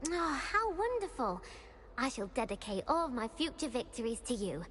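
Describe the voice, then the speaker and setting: A woman's voice: fairly high pitch, very animated, normal speed, a bit bright. A woman acting an eager, competitive schoolgirl witch in a video game, with a British accent and formal, theatrical phrasing. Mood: delighted, gloating. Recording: clear background ambient noise.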